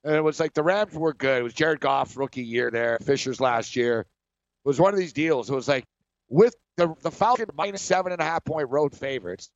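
Laughing sports talk host, dull dry sound. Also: male voice, a little high, a little fast, no echo. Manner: laughs often, stumbles over words. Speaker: sports betting show host.

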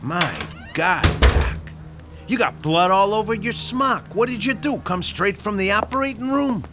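An actor playing a gruff 1930s Chicago mob boss with a Chicago accent, swearing and barking orders. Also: male voice, sits high, expressive, measured pace. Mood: sly hospitality. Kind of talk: audio drama acting. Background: music score.

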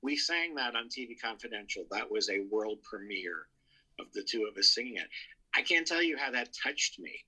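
A man's voice: lowish voice, lively intonation, normal speed, sharp tone, slightly rough, poor audio. A man contributing to a talk show, reminiscing in an emphatic, anecdotal, conversational way. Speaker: show contributor, entertainment writer.

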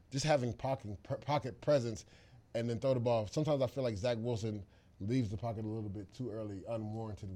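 Fast-talking, mellow male football analyst. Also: fairly low pitch. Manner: emphatic, opinionated, conversational. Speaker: football podcast co-host and analyst. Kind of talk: casual sports analysis.

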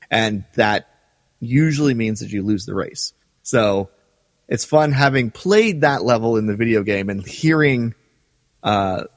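Low-voiced man chatting, long reverb. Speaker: podcast co-host. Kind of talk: casual discussion.

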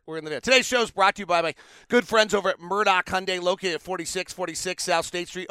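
A man hosting a sports podcast, talking basketball and reading sponsor spots in an animated, conversational way with rambling asides. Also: sits high, gravelly, clear, resonant tone, dry recording. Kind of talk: casual basketball analysis and conversational ad reads.

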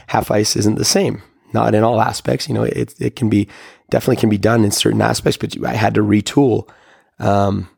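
Hoarse hockey coach guy thinking out loud, raspy and lowish, lots of ums and restarts. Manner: rambling, many fillers and restarts. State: hoarse, recovering lost voice. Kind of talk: casual reflective monologue.

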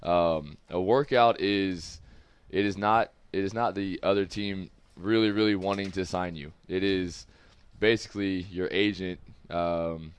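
Young man, very low voice, smooth clear tone, easygoing rambling talk, faint music under. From United States. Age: 20s. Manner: candid, easygoing, loose and rambling. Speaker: guest, professional football player. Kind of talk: casual interview talk. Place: studio room with several people.

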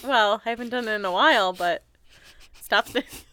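Bright, crisp woman in playful casual chat. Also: on the high side, moderate pace, no echo. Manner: teasing, playful. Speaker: podcast co-host discussing art.

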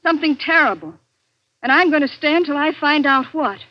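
Anxious, devoted young niece, highish voice, earnest vintage radio suspense delivery, dulled recording. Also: female voice, lively intonation, fairly quick. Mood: worried, puzzled. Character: worried, stubborn, devoted young married niece. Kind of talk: 1940s radio suspense acting with narration. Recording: muffled, noisy old radio recording.

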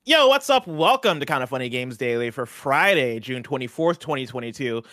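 Fast-talking joking male co-host. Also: medium pitch. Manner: quick, joking, animated banter. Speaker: podcast co-host. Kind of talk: casual chat.

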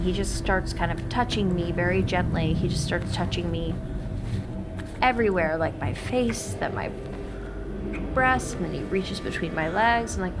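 An actress playing a nervous young American woman confiding something intimate in a halting, self-conscious, naturalistic audio drama monologue. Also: fairly low pitch, very noisy. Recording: music under the voice. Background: music.

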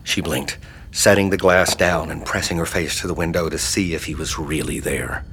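An actor narrating a horror audio drama in a measured, grave and ominous manner. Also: male voice, a bass voice, a bit bright, a little hiss or hum. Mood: ominous, hushed. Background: music.

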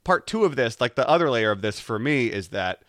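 A man co-hosting a podcast in casual chat, explaining things in a halting way and often interrupting himself. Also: medium pitch, very animated, fairly bright tone, no echo, clean studio sound. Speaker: podcast co-host. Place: close mic in a dry room, recorded over video chat.

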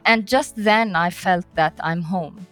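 Slow-paced, halting woman being interviewed. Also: lowish voice, fairly bright tone, faint music behind. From Middle East and North Africa. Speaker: interview guest, environmental peace-building director. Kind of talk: reflective personal interview.

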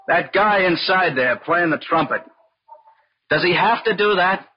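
An actor playing a wry, plaintive kidnapped celebrity in a 1940s radio crime drama. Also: male voice, sits high, expressive, unhurried, breathy edge, full character voice. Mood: aggrieved. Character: aggrieved, sarcastic male celebrity held hostage by kidnappers. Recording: dull old radio recording, music behind.

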